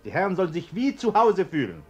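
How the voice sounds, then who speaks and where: An actor playing a fussy, nervous well-off householder who gives bossy orders in a German radio play. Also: male voice, sits high, huge pitch swings, fast talker, a little mellow, faint music behind. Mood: fussy, agitated.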